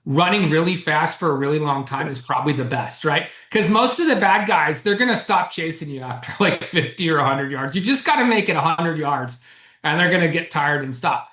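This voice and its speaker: A man, a co-author guest in an interview, who explains at length in an animated way and chuckles often. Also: on the high side, fairly quick. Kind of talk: casual interview chat. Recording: roomy echo.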